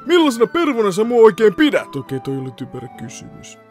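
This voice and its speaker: A man's voice: high-pitched, huge pitch swings, soft background music. A man playing a cocky, shameless teenage boy swordsman in an anime parody dub, casual and smug. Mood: deadpan.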